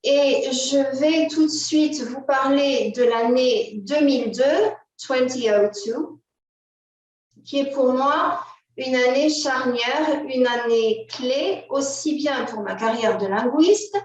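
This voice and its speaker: A French-speaking woman presenting her novel with slides at a book fair, pausing often with filler sounds and asking her assistant to move to the next slide. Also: high-pitched, a little hiss or hum. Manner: halting, many filler "euh", directs an assistant to change slides. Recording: roomy echo, distant from the mic.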